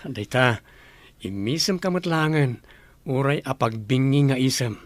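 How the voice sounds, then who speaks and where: A man's voice: mid-range voice, unhurried, no room sound, natural voice. An actor playing a kind, attentive young working man in an Ilocano radio drama. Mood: tender, concerned.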